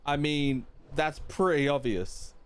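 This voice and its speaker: A man's voice: fairly high pitch, slow, clear, resonant tone. A man streaming a game, giving casual reaction commentary as he muses aloud skeptically.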